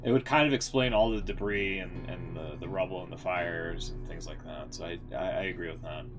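A man's voice: low voice, fairly quick, over music, low-quality recording. A man streaming a game, giving casual live reactions and commentary.

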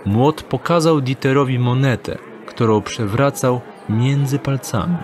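A man narrating an audio drama in an even storytelling tone. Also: mid-range voice, a little slow.

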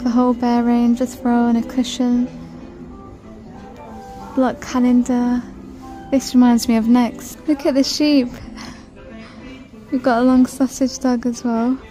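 Woman, shopping vlog narration, higher pitch, chatty and gushing, phone recording, music in background. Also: poor audio. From United Kingdom. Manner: chatty, gushing over items and reading out prices. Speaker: shopping vlogger. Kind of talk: shop-with-me walkthrough narration. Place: large supermarket, indoors.

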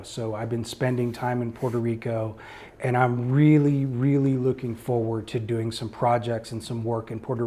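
A male artist answering audience questions at a gallery talk, speaking informally in a reflective, halting, earnest way. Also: mid-range voice, a little mellow. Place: indoor art gallery before a small seated audience.

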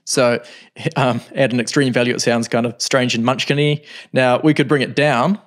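A man in his thirties teaching music software in a home studio, explaining calmly with frequent ums. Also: mid-range voice, normal speed. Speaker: music production tutor. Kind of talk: screen-recorded software tutorial.

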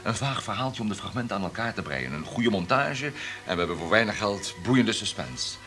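An actor playing a cynical, commanding radio station director in a radio drama, speaking in a brisk, authoritative way. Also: male voice, on the low side, animated, fairly bright tone, faint music behind. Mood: calculating. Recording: faint background noise, radio play.

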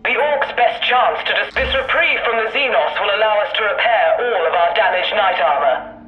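A performer playing a proud, battle-weary knight of a noble house in a video game. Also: male voice, high-pitched, animated, moderate pace, soft background music. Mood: weary, honourable. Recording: slightly dull radio sound, faint noise.

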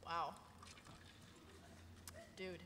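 A young woman playing a snarky, blunt female sidekick in a live table read, with a sarcastic, casual manner. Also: extremely slow. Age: young adult. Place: convention panel stage, table microphone. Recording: echoey convention hall, audience noise.

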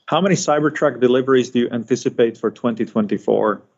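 A man's voice: medium pitch, fast talker, a little mellow, husky. A man moderating an earnings call, handing over to speakers and reading out investor questions. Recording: dull lo-fi conference call audio.

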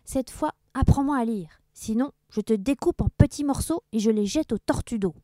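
A woman voicing a cruel male pirate captain in a children's story, shouting insults at his crew. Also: fairly low pitch, animated, moderate pace, no echo, natural voice. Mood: threatening.

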